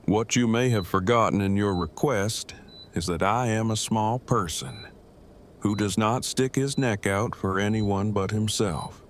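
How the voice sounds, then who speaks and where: A man's voice: on the low side, measured pace, a little hiss or hum, natural voice. A man playing an awkward, self-protective survivor with stilted, formal, wordy speech in an audio drama. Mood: defensive, dry. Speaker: voice actor.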